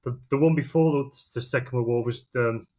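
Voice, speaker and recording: A man co-hosts a podcast with a medium-pitched voice. He talks casually, rambling informally from one thought to the next. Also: a little fast, warm tone. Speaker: podcast co-host. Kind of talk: casual chat.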